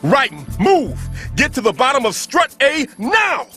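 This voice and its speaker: An actor playing a methodical older male bomb-disposal expert in a video game cutscene, measured and precise. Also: very high-pitched, huge pitch swings, exaggerated voice. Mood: frantic. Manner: measured, turns to urgent shouting. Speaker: explosives expert on the radio. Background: game score music.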